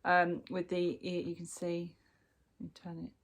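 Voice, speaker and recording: A woman's voice: on the low side, monotone, unhurried. A woman from the UK chatting casually through a crochet work-in-progress update, sounding bunged up from a cold, with lots of ums and restarts. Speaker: crochet vlogger. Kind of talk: casual show-and-tell chat.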